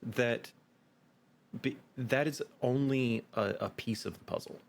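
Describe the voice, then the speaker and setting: A man's voice: medium pitch, slow, gravelly. A man being interviewed as a game master, explaining his points in an emphatic way and often checking with "right?". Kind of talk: interview conversation.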